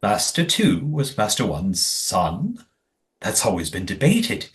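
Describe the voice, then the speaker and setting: A man's voice: lowish voice, wildly swooping pitch, slow, full character voice. An actor playing a righteous, well-spoken man in an audio play, formal and emphatic. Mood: earnest storytelling. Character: righteous, well-spoken man guarding his community's history.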